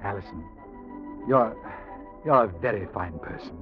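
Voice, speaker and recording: An actor playing a suave, smooth-talking schemer courting a woman, courtly and hesitant, in theatrical 1940s radio style. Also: lowish voice, lively intonation, moderate pace, lightly acted. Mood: earnest wooing. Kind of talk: 1940s radio drama acting. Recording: dull old radio recording, music behind.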